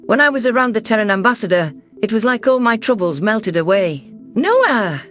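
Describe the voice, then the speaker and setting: A man reading first-person science fiction narration in a steady, measured, scripted audiobook style. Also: high voice, soft background music.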